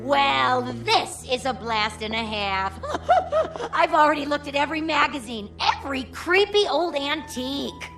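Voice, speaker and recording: An actress playing a bored, spoiled young granddaughter with a flippant, sarcastic manner in a radio drama. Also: female voice, high voice, sing-song, a little slow, few pauses, over music, heavily acted.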